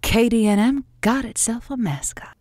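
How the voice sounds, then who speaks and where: A woman playing a playful, soft-hearted late-night radio call-in host with a coy, halting manner. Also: a little high, no room sound, studio quality, lightly acted. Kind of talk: improvised audio drama, late-night radio call-in show.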